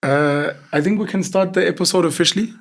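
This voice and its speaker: A man's voice: a little high, fast. Chatty male interviewer. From South Africa. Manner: effusive, chatty, admiring. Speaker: host. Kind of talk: interview conversation.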